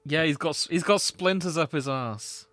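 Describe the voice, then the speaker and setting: A man's voice: fairly high pitch, normal speed, a bit bright, no echo. A man co-hosting a podcast in casual comedic chat, with a dry, matter-of-fact manner. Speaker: podcast co-host.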